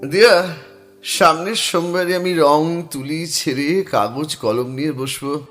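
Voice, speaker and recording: A man's voice: a little high, measured pace, fairly bright tone, faint music behind. An actor playing a middle-aged painter who muses aloud, mixes in English phrases and narrates his own story. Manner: musing, self-talking, mixes English phrases. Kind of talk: radio drama acting and first-person narration.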